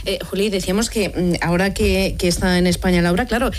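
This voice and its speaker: A woman's voice: lowish voice, fairly even intonation, quick pace, slight background noise. A woman interviewer asking questions in a conversational way.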